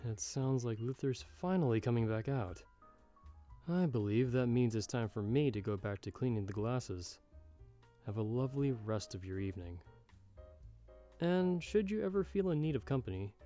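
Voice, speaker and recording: Casting: male actor as a jaded, sardonic bartender for ASMR roleplay; dark mellow tone, mid pitch, measured and wry, music behind. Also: very animated, close to an everyday voice. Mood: gently courteous. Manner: measured, wry, slightly formal and old-fashioned phrasing. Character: jaded, sardonic male bartender giving frank, fatherly advice. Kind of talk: ASMR roleplay, scripted monologue to the listener. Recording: background music under the voice.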